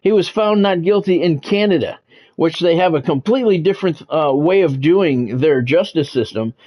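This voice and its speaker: A man hosting a game livestream, talking sports to his viewers in measured, announcer-like phrases. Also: on the high side, expressive, dull audio. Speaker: game streamer and sports commentator, former radio play-by-play announcer. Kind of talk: livestream monologue, sports talk to chat viewers.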